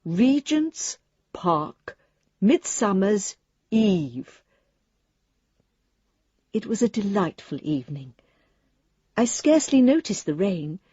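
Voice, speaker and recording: A woman's voice: mid-range voice, warm tone, breathy edge, no room sound, lo-fi sound, heavily acted. An actress playing a genteel, politely fussy English lady reading her letter in a radio drama, prim and wordy in manner. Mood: quietly wondering, then shyly apologetic.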